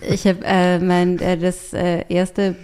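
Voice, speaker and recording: Podcast guest, female writer: Austrian accent, lowish pitch, informal and lively storyteller. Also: takes it slowly, clean tone. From Europe. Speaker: interview guest, writer and artist. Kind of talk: casual podcast conversation.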